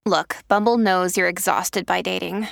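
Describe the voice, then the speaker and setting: A woman's voice: on the low side, steady tone, moderate pace, creaky, fairly bright tone, no room sound, studio quality. A woman doing a modern, conversational scripted voice-over for an advertisement.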